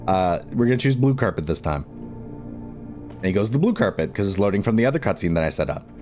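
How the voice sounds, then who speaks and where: A man's voice: fairly low pitch, big pitch swings, fast talker, faint music behind. A man giving a casual screen-recorded game modding tutorial, speaking informally in a rambling way and repeating himself. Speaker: video tutorial host.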